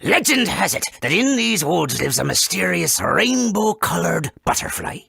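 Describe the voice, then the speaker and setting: A man voicing an eccentric, excitable rustic guide in a video game, with a gravelly, rambling delivery. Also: medium pitch, no room sound, studio quality, exaggerated voice. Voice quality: gravelly, raspy. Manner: halting, rambling, exclamatory. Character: eccentric rustic guide giving ominous warnings, forgetful and excitable.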